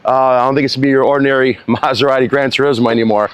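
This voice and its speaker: A man's voice: mid-range voice, steady tone, racing. A man speaking casually as a customer and car owner, chatting informally. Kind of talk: casual chat to camera. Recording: clearly noisy, with vehicle ambience.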